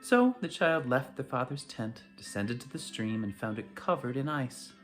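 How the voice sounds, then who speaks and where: Bedtime storyteller, medium pitch, lively intonation, dramatic read-aloud, faint guitar music. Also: moderate pace. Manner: dramatic, animated delivery. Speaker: storyteller reading to a school community. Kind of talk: expressive bedtime story reading.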